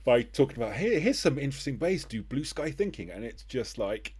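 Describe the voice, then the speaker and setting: A man's voice: medium pitch, sing-song, brisk, a little mellow, husky. A middle-aged man hosting a casual interview, speaking in a wry, hesitant way with the odd sigh. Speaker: interview host.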